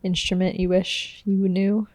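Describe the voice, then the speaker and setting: A woman's voice: mid-range voice, little pitch movement, unhurried, a little mellow, dry recording, studio quality. A woman hosting a casual podcast, reading out numbered questions and answering her guest with short, murmured agreements. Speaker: podcast host. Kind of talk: casual interview chat.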